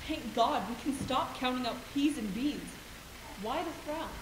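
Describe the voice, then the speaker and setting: A young woman on stage playing a calm, wry, kindly Mother Superior. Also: high-pitched, unhurried. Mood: resolute. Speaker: student stage actor. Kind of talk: stage play acting. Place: school auditorium stage, far camera. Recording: echoey stage recording with hiss.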